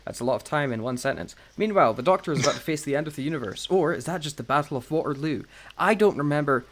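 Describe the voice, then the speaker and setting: A man's voice: a little high, animated, fast talker. A man co-hosting a podcast in casual, joking review chat, with a self-deprecating manner. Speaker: podcast co-host.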